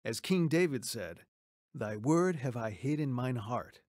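A man playing a kindly, encouraging pastor in a radio drama, gently giving guidance. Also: medium pitch, animated, unhurried, dry recording, clean studio sound, lightly acted. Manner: gentle, instructive.

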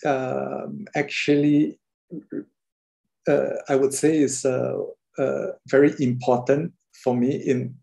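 A Singaporean man, an artist, talking thoughtfully with many pauses and fillers on a video call. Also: medium pitch, level tone, gravelly, warm tone. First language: Mandarin.

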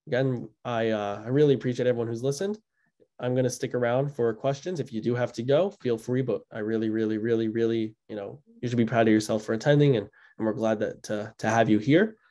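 A young man hosts a video-call webinar, his voice mellow and dark in tone. He talks in a loose, conversational way, full of ums and quick restarts, as he walks through slides and answers questions. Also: mid-range voice. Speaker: host of an educational webinar. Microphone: video call microphone.

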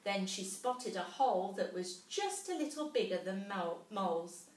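A woman's voice: medium pitch, lively intonation, measured pace. A woman, a teacher reading a storybook expressively to young children. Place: small classroom, indoors. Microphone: camera a few metres away. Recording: roomy classroom, distant camera mic.

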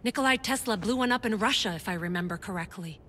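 An actress playing a sceptical, exacting middle-aged doctor in a video game, dry and precise. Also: female voice, mid-range voice, fast talker, a bit bright, lightly acted. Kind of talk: video game cutscene acting.

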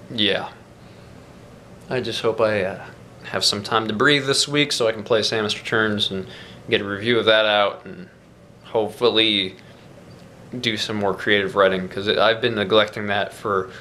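A man's voice: on the low side, a little hiss or hum. A young man talking casually into a camera at arm's length, rambling with lots of fillers in a small room. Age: young adult. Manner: relaxed, rambling with many fillers. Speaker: vlogger. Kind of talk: casual video diary. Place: small bedroom and dorm room indoors. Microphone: phone or camera at arm's length.

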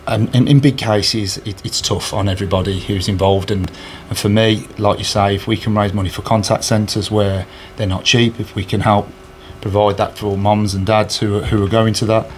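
A man's voice: lowish voice, little pitch movement, moderate pace, hardly any pauses, a little hiss or hum. A man, answering interview questions earnestly with many fillers and restarts, recorded indoors on a handheld recorder. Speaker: charity match organiser. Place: indoors in front of a sponsor board. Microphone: handheld recorder held by interviewer.

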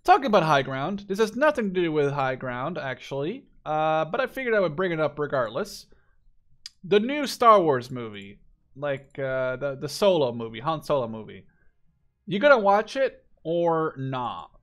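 A man's voice: on the high side, very animated, normal speed, a bit bright. A man in his twenties giving casual, unscripted gameplay commentary, rambling and swearing freely as he shares his opinions. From Canada. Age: 20s. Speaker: gaming video creator.

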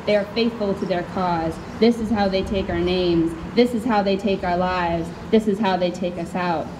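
A woman's voice: medium pitch, normal speed. A young woman from Chicago with an American accent, a poet at a live spoken word reading, candid and informal as she projects into a stand microphone. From Chicago, USA. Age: young adult. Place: outdoors under a canopy tent. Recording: clear background noise, outdoor event ambience.

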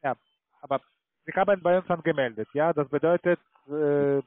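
A man with a foreign accent speaking non-native German as a call centre salesman, pitching haltingly and tagging sentences with "ja". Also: no room sound. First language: not German. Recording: tinny narrow phone line.

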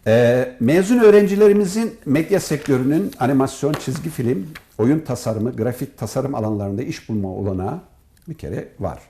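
A mellow, relaxed-sounding older man speaks as an academic being interviewed. His pitch moves in a lively way, and he phrases things formally, pausing often as he goes. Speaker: professor, head of an animation department. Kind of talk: interview answers in formal, partly prepared wording.

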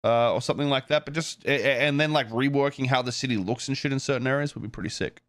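A man's voice: mid-range voice, quick pace, no echo, clean studio sound. A man talking casually and off the cuff on a stream, swearing freely and thinking aloud, like a game developer chatting to viewers.

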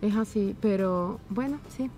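A woman's voice: mid-range voice, a little slow, soft background music. A woman in her twenties, a university student, chatting casually and expressively on a bench outdoors. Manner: chatty, expressive, gestures while talking. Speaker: vlogger, university student in Austria. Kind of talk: casual chat. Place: outdoor garden bench by a building.

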